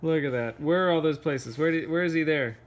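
A man reading a picture book aloud to children, playfully, pausing for casual questions and asides. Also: fairly high pitch. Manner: playful, pauses to ask questions. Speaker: read-aloud storyteller.

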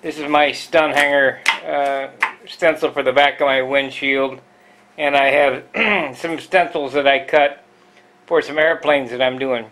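A man giving a casual, rambling tour of his home model-airplane workshop, halting with fillers and clearing his throat, recorded on a handheld camera. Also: medium pitch, fairly even intonation, a bit bright, a little hiss or hum, low-quality recording. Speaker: model airplane builder, channel host. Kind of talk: casual shop tour vlog. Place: cluttered home hobby workshop, indoors.

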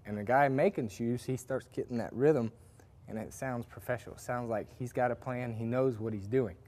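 A man's voice: mid-range voice, fairly even intonation, moderate pace, a little mellow. Folksy man teaching horseshoeing. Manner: folksy, rambling, lots of fillers and restarts. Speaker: farrier instructor. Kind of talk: casual hands-on demonstration talk. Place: farrier workshop with forges.

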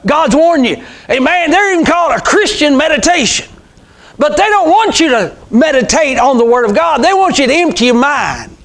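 High-pitched preacher man in his sixties. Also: very animated, moderate pace, fairly bright tone. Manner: emphatic, repetitive, calls out amens and rhetorical questions. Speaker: pastor preaching to a congregation. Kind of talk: informal, fervent extemporaneous sermon. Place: small church sanctuary, indoors. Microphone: clip-on lapel mic.

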